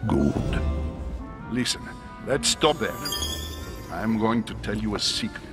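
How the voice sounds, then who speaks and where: A grave, secretive senior churchman in a cinematic adventure game. His speech is ceremonious and fatherly, with careful pauses and a lively melodic range. Music plays clearly behind him. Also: on the low side. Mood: grave, confiding. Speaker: actor in a narrative adventure game. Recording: game dialogue over background music.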